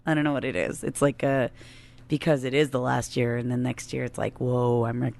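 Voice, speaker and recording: A woman in her late thirties with a low-pitched voice, co-hosting a casual podcast. She talks in a chatty, informal way and laughs easily. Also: level tone, fairly quick, vocal fry, darkish tone. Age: late 30s. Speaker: podcast co-host. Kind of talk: casual banter with spouse.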